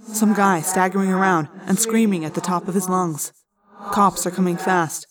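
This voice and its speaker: A woman's voice: on the low side, fairly even intonation, moderate pace, far from the mic, crowd noise, close to an everyday voice, rough recording. A woman voicing a casual teenage boy lookout who reports in slangy speech in an audiobook. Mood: alert, casual.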